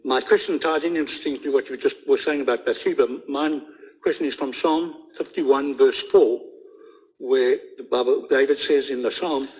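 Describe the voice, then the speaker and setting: A man's voice: mid-range voice, moderate pace, darkish tone, slightly rough, low-quality recording. A man with a South African accent from the audience, asking the host a direct question.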